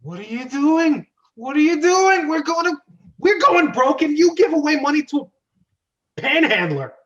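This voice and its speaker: A man's voice: very high voice, sing-song, normal speed, a bit bright, pitched above the performer's own voice, full character voice. A man reading the part of an indignant plumber ranting at his brother in a script read-aloud. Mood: exasperated, scolding. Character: exasperated adult male plumber, scolding his brother. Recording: dull, lo-fi sound.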